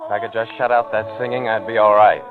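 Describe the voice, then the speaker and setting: A man's voice: lowish voice, steady tone, quick pace, close to an everyday voice. Guy acting sardonic brooding man in 1940s radio thriller, muffled, music behind him. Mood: brooding, tense. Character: brooding, sardonic man, haunted narrator of suspense tale. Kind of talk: 1940s radio acting, first-person narration. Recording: muffled vintage radio recording.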